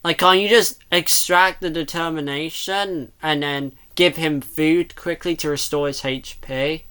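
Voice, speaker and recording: Bright-voiced reactor nitpicking casually. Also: mid-range voice, steady tone, unhurried, clear, resonant tone. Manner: questioning, nitpicking, rambling. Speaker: reactor commenting on a video.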